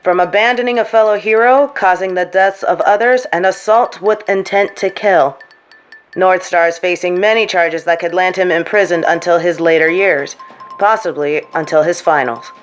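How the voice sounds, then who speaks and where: A woman playing a TV news anchor in an audio drama, reading a scripted bulletin in a formal, measured way. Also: lowish voice, soft background music, lightly acted. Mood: formal, serious. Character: female TV news anchor reporting on superheroes and villains.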